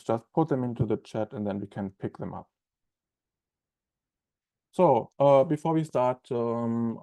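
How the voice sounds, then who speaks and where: A man's voice: medium pitch, mellow. A young man with a German accent, presenting a webinar in a loose, conversational way with many ums and uhs. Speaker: onboarding specialist presenting a webinar.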